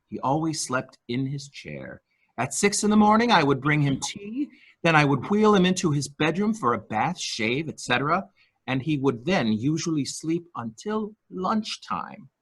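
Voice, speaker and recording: A man's voice: on the high side, very animated, a little slow, fairly bright tone, slightly rough, heavily acted. A man playing a deferential male nurse-attendant in a murder mystery reading, speaking formally, hesitantly and obsequiously. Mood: nervously formal. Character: deferential male nurse-attendant to a rich invalid, primly servile.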